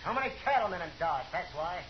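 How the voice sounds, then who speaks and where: A defiant frontier outlaw with nothing left to lose. The voice is high and bitter, with a taunting delivery, in a noisy, muffled vintage radio western. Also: male voice, animated, fast talker. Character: defiant, bitter cornered Old West outlaw, adult man. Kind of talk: 1950s radio western acting.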